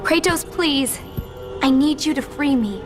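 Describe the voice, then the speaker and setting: A high-voiced, earnest young girl. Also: expressive, measured pace. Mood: earnest, pleading. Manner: earnest, insistent. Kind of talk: video game cutscene acting. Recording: game music behind voice.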